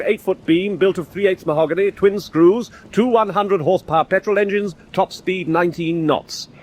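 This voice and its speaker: An actor playing an assured naval officer in a radio drama, talking in clipped, telegraphic reports. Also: male voice, high-pitched. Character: brisk, assured British naval second-in-command.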